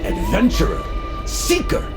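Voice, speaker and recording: An actor playing a grandiose dungeon master, welcoming a player with theatrical, portentous audio drama narration. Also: male voice, very high voice, huge pitch swings, unhurried, music in the background, full character voice. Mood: grand welcoming.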